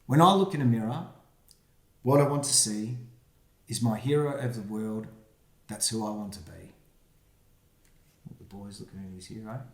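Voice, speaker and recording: A man, the book's author, reading his rhyming picture book aloud for children, with quiet asides about the pictures. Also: on the low side, normal speed, creaky, pauses often. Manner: friendly, reads rhyming verse with quiet asides. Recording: roomy echo.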